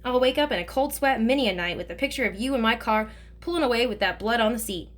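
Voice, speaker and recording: A woman's voice: a little high, quick pace, lightly acted. A woman reading aloud voices a kindly, teasing older male driver who talks in a folksy, joking way.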